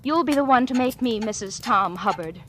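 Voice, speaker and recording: A woman's voice: fairly high pitch, animated, normal speed, a bit bright. An actress playing a heartbroken young woman jilted in love, in bitter, hurt 1940s radio acting. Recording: noisy old radio broadcast.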